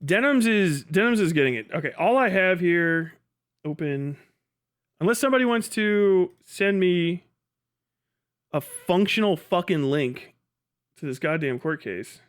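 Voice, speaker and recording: A man's voice: on the high side, lively intonation, moderate pace, long pauses, a bit bright. A man hosting a casual livestream, rambling bluntly with lots of profanity and muttered asides to himself and chat. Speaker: livestream host.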